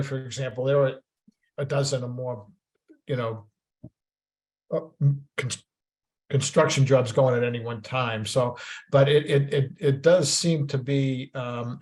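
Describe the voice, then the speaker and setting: A man's voice: mid-range voice, darkish tone, poor audio. A man from the Boston area with a Boston accent, hosting a webinar over a video call in a rambling, jokey way with many restarts. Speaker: webinar host. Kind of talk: casual moderated webinar discussion.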